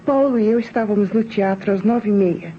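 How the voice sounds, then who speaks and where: A woman with a Brazilian accent playing a guarded, pensive young employee in an old radio crime drama, measured and self-justifying. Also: mid-range voice, lightly acted. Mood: defensive. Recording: dull, noisy old radio drama recording.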